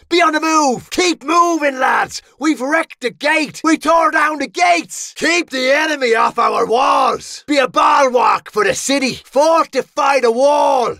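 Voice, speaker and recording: An actor playing a cocky, wry medieval sellsword with an Irish accent and colourful, theatrical delivery in a video game. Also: male voice, very high-pitched, pushed and bright, no echo, exaggerated voice. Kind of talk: video game battle barks and voice lines.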